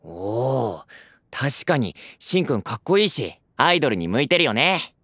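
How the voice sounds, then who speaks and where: A man's voice: medium pitch, very animated, moderate pace, fairly bright tone, slightly rough, no echo, clean studio sound. An actor playing an earnest, easygoing teenage boy idol in an audio drama, youthful and good-natured. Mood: friendly, cheerful. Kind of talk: Japanese audio drama acting.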